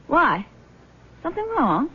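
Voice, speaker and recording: An actress playing a sensible, concerned grown daughter in a radio drama, gentle and questioning. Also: female voice, high voice, wildly swooping pitch, measured pace, exaggerated voice. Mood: puzzled. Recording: muffled band-limited old radio recording.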